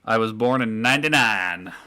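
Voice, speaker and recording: A man in his twenties with a relatively deep voice, hosting a casual chat podcast and teasing his co-hosts with frequent laughter. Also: moderate pace, sharp tone. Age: 20s. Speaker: podcast host.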